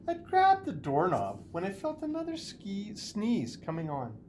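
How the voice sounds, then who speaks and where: A woman's voice: medium pitch, huge pitch swings, a little slow, background noise, voice pushed up. A woman voicing an aggrieved, self-justifying male wolf who tells his side of a storybook tale in a confiding, folksy, mock-innocent way. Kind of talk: dramatic storybook read-aloud.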